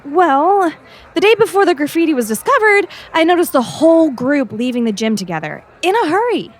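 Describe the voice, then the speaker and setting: A woman's voice: high-pitched, very animated, fairly quick. A woman playing an earnest, persistent teenage girl student reporter in an audio drama. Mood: earnest, concerned. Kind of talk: scripted audio drama acting.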